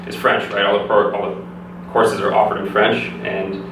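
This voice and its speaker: A young man from Canada, a physiotherapy student, explaining things in a steady, easygoing way in an interview. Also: fairly low pitch, normal speed. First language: English. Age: young adult. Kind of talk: casual interview answers. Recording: echoey room, distant mic, background noise.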